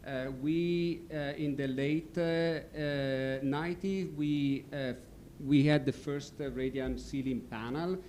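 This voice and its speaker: A man from Italy with an Italian accent, giving a company presentation and pausing often on fillers as he searches for words. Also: on the high side, a little mellow, slight background noise. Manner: halting, many fillers. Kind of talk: presentation talk. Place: stadium function room.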